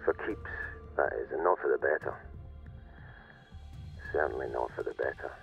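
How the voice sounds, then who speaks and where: Very deep-voiced, weary security corporal. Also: male voice, creaky, highs cut off, faint music behind. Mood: somber, reflective. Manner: halting, rueful, confiding, with pauses and self-corrections. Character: weary, cynical male security corporal, survivor of flooded world. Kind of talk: in-character audio diary monologue, dramatic game acting.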